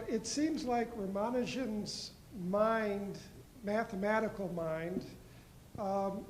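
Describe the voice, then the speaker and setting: A man's voice: sits high, darkish tone, reverberant, slight background noise, poor audio. A man from the audience asking a lecturer a question, hesitant and searching for words.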